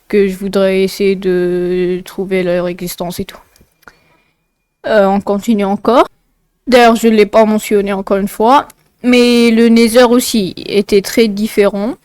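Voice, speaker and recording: A woman's voice: medium pitch, darkish tone, clear, resonant tone, no echo, studio quality. A woman with a slightly congested nose narrating a casual explainer video in an informal, rambling way full of asides. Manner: informal, rambling, often digressing.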